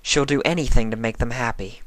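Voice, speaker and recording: A man's voice: medium pitch, brisk, dry recording, pitched above the performer's own voice, lightly acted. An earnest young mare pony from a storybook, voiced by a man, chatting politely and eagerly. The voice is lifted above the reader's own and recorded very dry, with an explaining, helpful manner. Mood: cheerful, informative.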